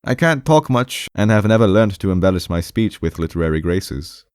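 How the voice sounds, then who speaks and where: A man's voice: deep voice, big pitch swings, normal speed, a little mellow, clear, resonant tone, dry recording, clean studio sound. A man voicing a timid, defensive male clerk in an audiobook, hesitant, repetitive and half-aggrieved, with a strained, raised voice. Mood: half-aggrieved, stammering. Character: timid, defensive, rambling male clerk visiting his doctor. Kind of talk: audiobook character voice.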